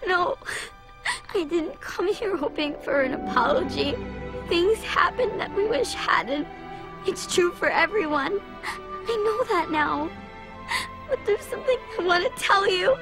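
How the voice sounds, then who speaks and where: Young heroine, high voice, earnest, emotional, video game drama, music behind. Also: female voice, animated, measured pace, full character voice, rough recording. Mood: gentle, emotional. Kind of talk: dramatic English dub voice acting.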